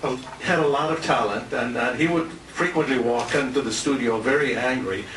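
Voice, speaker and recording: An older man giving an informal, rambling tribute speech in a halting, anecdotal way. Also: mid-range voice. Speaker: retired radio announcer giving a tribute speech. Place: restaurant banquet room. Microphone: handheld compact camera at a distance. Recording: roomy, noisy handheld camera from far off.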